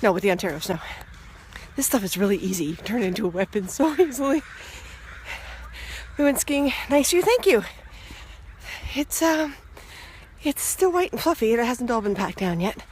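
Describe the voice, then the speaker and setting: A woman's voice: fairly high pitch, animated, normal speed, darkish tone. Chatty woman on a street. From Toronto, Canada. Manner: chatty, upbeat, talking to camera while walking. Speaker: vlogger. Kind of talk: casual walking vlog. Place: snowy city street outdoors. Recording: outdoor street and traffic noise. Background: traffic.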